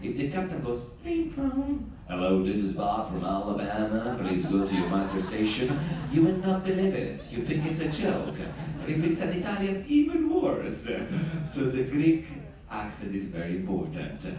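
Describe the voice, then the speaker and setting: Joking cruise director in echoey hall. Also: male voice, mid-range voice, moderate pace, a crowd in the background. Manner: joking, animated storyteller. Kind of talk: lively onboard talk to an audience. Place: large room on a cruise ship. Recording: distant, very echoey room.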